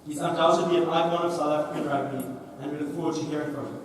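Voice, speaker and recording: A man's voice: on the high side, steady tone, slight background noise. Man introducing a speaker in a hall. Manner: measured, reading from notes. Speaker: master of ceremonies. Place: large echoey dining hall. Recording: echoey hall, speaker far from mic.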